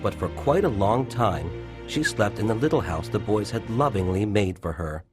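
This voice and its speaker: A man's voice: lowish voice, animated, normal speed, music in the background. A narrator, a man, reading a scripted children's story.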